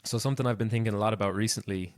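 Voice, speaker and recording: A young man in his late twenties hosts a casual interview in a lowish, very even voice. His tone is clean and smooth, recorded close and dry. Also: rapid-fire, no room sound. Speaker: podcast host, interviewer.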